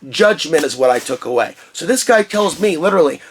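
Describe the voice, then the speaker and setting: Booming, impassioned man vlogging. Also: lively intonation, fairly quick, a little hiss or hum. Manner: impassioned, ranting. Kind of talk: personal vlog monologue. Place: insulated shed studio.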